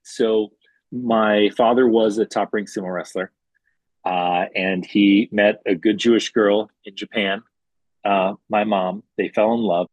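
A man in his forties from Hawaii tells his life story as an interview guest. His low, husky voice stays relaxed and chatty, with easy fillers, and the recording is close and dry. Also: unhurried, dry recording, poor audio. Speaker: guest, restaurant executive. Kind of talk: conversational storytelling.

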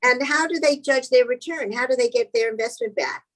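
A woman's voice: a little high, brisk, fairly bright tone. A middle-aged woman hosting a podcast, asking direct questions in a playful way. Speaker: podcast host. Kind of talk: interview questions.

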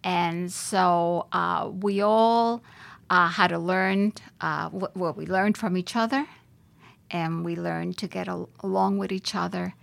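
An older woman from Puerto Rico talks about her life as a podcast guest, thoughtful and hesitant, often pausing and restarting. Her voice carries a gravelly fry. The recording is close and dry, with no room around it. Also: on the low side, steady tone, no room sound. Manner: reflective, many hesitations and restarts. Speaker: judge, interview guest. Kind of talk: podcast interview.

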